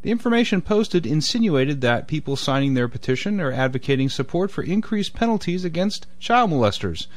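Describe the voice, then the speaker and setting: A man reading a scripted community radio news segment in a crisp, pointed delivery. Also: fairly high pitch, very animated, brisk, hardly any pauses. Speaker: news reader.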